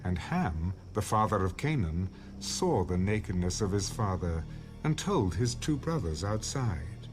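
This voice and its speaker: A man reading scripture as a scripted audio Bible narration, in a measured, expressive storytelling delivery. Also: deep voice, music in the background.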